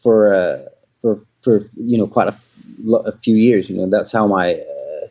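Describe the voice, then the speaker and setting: A man's voice: on the low side, animated, moderate pace, mellow, slightly rough. A man from Denmark, a musician guest, talking casually in an interview, rambling with lots of fillers and restarts. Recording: dull lo-fi audio.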